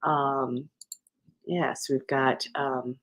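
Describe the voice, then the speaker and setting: A woman's voice: a bass voice, level tone, drawn-out words. A woman hosting a live crochet stream, chatting casually and rambling with many fillers, restarts and easy laughs.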